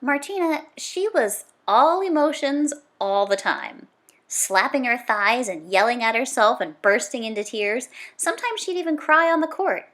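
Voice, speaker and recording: A woman reading a children's book aloud like a teacher, in an animated, conversational way with emphatic stress on repeated words. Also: fairly high pitch, measured pace.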